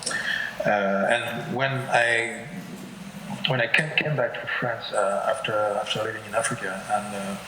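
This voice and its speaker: A man answering as guest artist over a video call, halting and searching for words. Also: fairly low pitch, steady tone, reverberant, very noisy.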